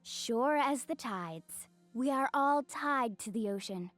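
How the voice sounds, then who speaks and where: A woman's voice: fairly high pitch, lively intonation, slow pace, fairly bright tone, soft background music. A woman voicing a plucky young mermaid sea mage in a video game, youthful and melodic, declaiming short dramatic battle lines. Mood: determined, stately.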